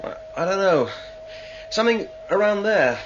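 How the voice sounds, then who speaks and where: A man's voice: high voice, animated, moderate pace, lo-fi sound. An actor playing an uneasy, questioning junior crewman on a starship, earnest and puzzled, in a science-fiction radio drama. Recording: noisy old radio drama recording.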